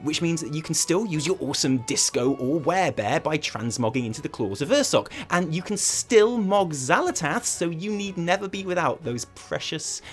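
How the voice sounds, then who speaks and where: A British man hosting a gaming news show with lively scripted commentary, theatrical and sarcastic in delivery. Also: fairly high pitch.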